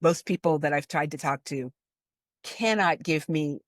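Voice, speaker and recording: A woman in her fifties from Cleveland, a guest expert talking casually, her pitch jumping high when she stresses a point. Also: measured pace, no echo, clean studio sound. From Cleveland, Ohio. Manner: animated, pitch jumps high for emphasis. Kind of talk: casual conversational discussion.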